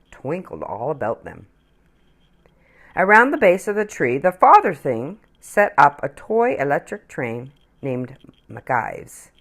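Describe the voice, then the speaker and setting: A woman reading a children's picture book aloud as a storyteller, expressively and with dramatic pauses. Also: sits low.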